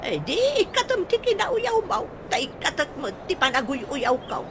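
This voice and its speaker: A fairly high-voiced, scolding mother with an Ilocano accent in a radio comedy. She nags in middle-aged fashion over a noisy recording. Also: big pitch swings, normal speed. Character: nagging, scolding mother in a comic drama.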